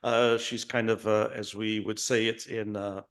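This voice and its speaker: A man moderating an author panel, courteous and halting, with many "uh" fillers. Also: lowish voice, level tone, fairly bright tone, smooth.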